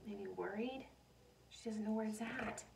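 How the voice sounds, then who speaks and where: A woman with a lightly high voice reads a children's picture book aloud. She is animated and speaks directly to young listeners, slipping in questions and asides like a teacher. The recording sounds a bit distant from the microphone. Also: a little slow, vocal fry, noisy background.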